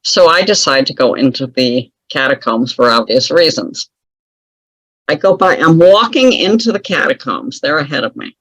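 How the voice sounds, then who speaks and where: A woman's voice: low-pitched, animated, normal speed, fairly bright tone, husky, dry recording, poor audio. A woman telling a personal story as an interview guest, chatty and wry, laughing often at her own remarks. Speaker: guest author.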